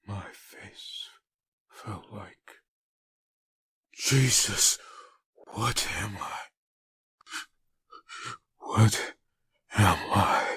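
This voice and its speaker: A haunted whispering antihero. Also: male voice, very slow, pauses often, a bit bright, breathy edge, dry recording, studio quality, exaggerated voice. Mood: brooding. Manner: low murmured inner monologue, whispering. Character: haunted brooding male antihero back from death. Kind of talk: fan comic dub acting.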